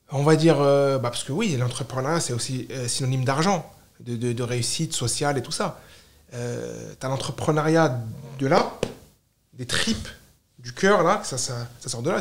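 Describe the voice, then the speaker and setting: A man's voice: medium pitch, echoey room. A man from France speaking casually as a podcast guest, emphatic, repeating and piling up short phrases. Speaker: podcast guest, startup founder. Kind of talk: casual, animated conversation.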